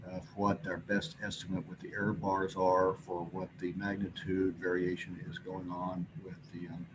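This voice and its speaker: Man, deep voice, informal research talk, hesitant pauses, clear background noise. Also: monotone. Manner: halting, hesitant explanation with pauses. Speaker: presenter at an astronomy symposium. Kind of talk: informal scientific slide presentation. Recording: clearly noisy recording with background ambience.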